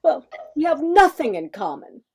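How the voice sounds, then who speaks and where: A woman's voice: sits high, very animated, a little mellow. A woman playing a headstrong, witty woman professor of nearly thirty in a radio comedy play, with dry retorts. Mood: exasperated, wry. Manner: dry, quick-witted retorts.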